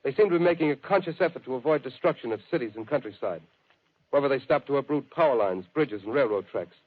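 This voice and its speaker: A man acting as an urgent radio announcer from the 1930s, firing off bulletins quickly and formally. His clipped delivery comes through a thin, tinny old broadcast. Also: mid-range voice, expressive, close to an everyday voice. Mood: urgent, grave. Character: urgent male radio news announcer reading war bulletins.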